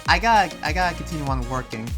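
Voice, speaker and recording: A man playing an earnest, preachy but friendly town leader in an anime roleplay, speaking in a halting, informal way. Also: fairly high pitch, animated, natural voice. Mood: earnest, cautioning. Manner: halting, rambling, informal advice-giving. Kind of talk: improvised Minecraft anime roleplay. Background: music under the voice.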